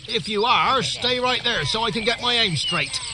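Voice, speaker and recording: High-voiced jittery peasant. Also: male voice, expressive, normal speed, very noisy. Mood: suspicious. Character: jittery, dim, boastful peasant man on village watch. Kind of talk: comic video game character acting.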